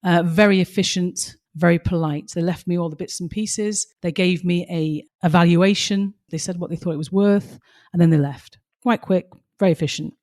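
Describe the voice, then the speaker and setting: A woman's voice: fairly low pitch, steady tone, normal speed, hardly any pauses, a little mellow. Passionate woman, slightly strained voice. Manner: passionate, emphatic storytelling. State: slightly strained, losing her voice. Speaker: podcast co-host. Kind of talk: casual podcast chat with stories.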